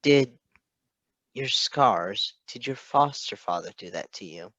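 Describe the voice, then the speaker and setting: A man's voice: medium pitch, lively intonation, slow pace, fairly bright tone, no room sound. An earnest, fatherly superhero played by a man in a story reading. He is insistent and fiercely protective, with open emotion, on a dry, slightly dull recording. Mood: concerned.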